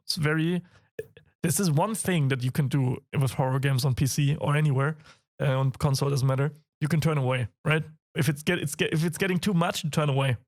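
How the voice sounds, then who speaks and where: Man, German accent, raspy, hoarse, young adult, excitable podcast co-host, fairly high voice. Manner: laughs often, excitable, swears casually. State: hoarse from a cold. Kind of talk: casual chat.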